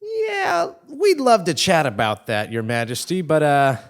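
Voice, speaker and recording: A man plays an affable but anxious clock repairman in a stage comedy. His delivery is lively, jumping up and down in pitch as he coaxes and apologises. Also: a little slow. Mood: awkwardly polite. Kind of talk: comic stage play acting before a live audience.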